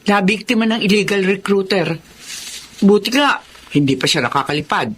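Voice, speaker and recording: A woman's voice: medium pitch, expressive, moderate pace, slight background noise, close to an everyday voice. An actress playing a worn, ashamed older Filipino mother in an emotional radio drama, speaking plaintively and plainly. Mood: weary, apologetic.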